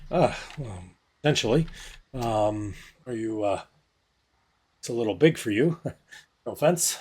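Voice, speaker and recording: A man's voice: on the low side, animated, measured pace, darkish tone, breathy edge. A man doing a weary, polite village leader. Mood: weary, courteous.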